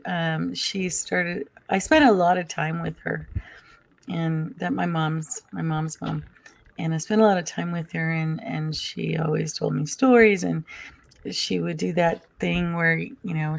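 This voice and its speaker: Woman guest on a video call, soft-spoken and halting, low gravelly voice. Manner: soft-spoken, hesitant with many ums. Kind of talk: casual storytelling chat.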